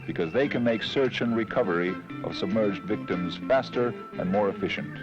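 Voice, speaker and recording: A man with a very deep voice narrates an instructional film, reading from a script. The sound is a dull old film soundtrack with clear music underneath. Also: normal speed.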